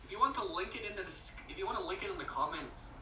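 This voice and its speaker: Bright young man, echoey and distant. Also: high voice, animated. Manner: rambling, halting, restarts phrases. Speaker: guest on a friend's live stream. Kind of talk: casual chat on a voice call. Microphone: voice call. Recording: echoey room, far from the mic.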